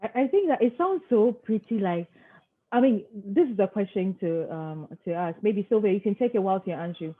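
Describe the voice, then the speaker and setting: A woman moderating an online panel who speaks haltingly and stacks several questions at once. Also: mid-range voice, animated. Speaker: webinar moderator. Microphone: video call. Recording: tinny phone-like call line.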